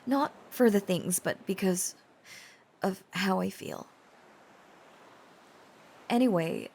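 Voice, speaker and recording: A woman playing an earnest, devoted dragon-blooded girlfriend in an intimate romantic audio roleplay, confiding with hesitant pauses. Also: mid-range voice, vocal fry, slight background noise. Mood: tender, earnest, then nervous, confessing. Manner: hesitant, confiding, soft-spoken pauses and stammers.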